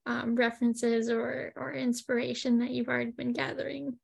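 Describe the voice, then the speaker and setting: Podcast host, woman, high pitch, easygoing interviewer who hedges with "like" and "kind of". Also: little pitch movement, normal speed, creaky, slightly rough. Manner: friendly, affirming, hedging with like and kind of. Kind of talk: interview questions.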